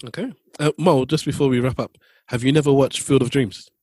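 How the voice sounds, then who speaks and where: A man's voice: mid-range voice, brisk, a little mellow, breathy edge, no echo. A man co-hosting a podcast in casual chat, loose and joking, often repeating himself. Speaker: podcast co-host.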